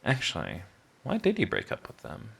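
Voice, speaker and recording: Low-voiced, sardonic male villain, played by a man. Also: wildly swooping pitch, vocal fry, fairly bright tone, breathy edge, exaggerated voice. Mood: teasing. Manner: sardonic, theatrical teasing, drawn-out mocking delivery. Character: sarcastic male villain, flippant, prickly, begrudgingly charming. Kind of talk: scripted ASMR roleplay audio drama.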